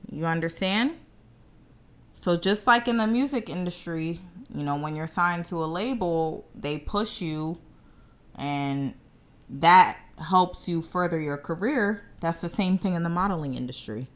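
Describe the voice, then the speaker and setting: A woman's voice: lowish voice, lively intonation, a little slow. A young woman from the United States hosting her own show, giving blunt, confident commentary straight to camera. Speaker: show host.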